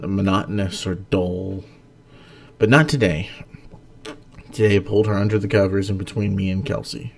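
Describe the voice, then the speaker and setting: A man who hosts and narrates stories, reading in an earnest, dramatic way and chatting casually about them. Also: on the low side. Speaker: host and story narrator.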